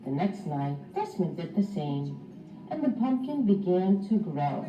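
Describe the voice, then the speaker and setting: A woman teacher reading a children's storybook aloud in an expressive, instructive way for young pupils. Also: lowish voice, unhurried, faint music behind. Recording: roomy, distant mic, slightly dull.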